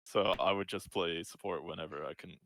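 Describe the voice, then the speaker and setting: A man's voice: low voice, brisk, fairly bright tone, no echo. A Scottish man in his twenties, answering interview questions in a laid-back, hesitant way. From Scotland. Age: 20s. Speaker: guest, esports player. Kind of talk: casual interview.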